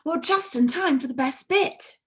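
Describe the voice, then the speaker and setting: A woman voicing a small, polite, eager young male bear from a children's storybook, earnest and excitable. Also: high-pitched, unhurried, voice pushed up. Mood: delighted.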